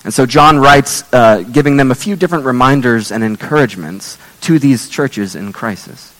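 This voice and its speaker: Male pastor, hoarse, losing his voice. Earnest, measured sermon delivery with a long hall reverb. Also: medium pitch, animated, fairly bright tone. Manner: earnest, measured preaching with scripture readings.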